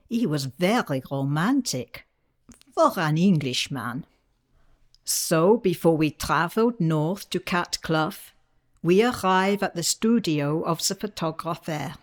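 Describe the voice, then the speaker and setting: A woman playing a tender, dignified French widow of the 1890s in a radio play monologue, speaking with a French accent in a measured, wistful way. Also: fairly low pitch.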